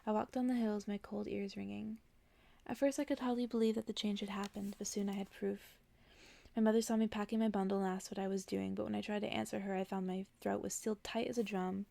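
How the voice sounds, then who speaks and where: A woman doing a soft-spoken ASMR story reading, hushed and unhurried, often slipping toward a whisper. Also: medium pitch, little pitch movement, gravelly. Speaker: ASMR reader and host.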